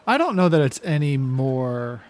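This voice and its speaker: A man's voice: medium pitch, very animated, unhurried, darkish tone. A man playing a tabletop game, chatting casually in a musing, questioning way.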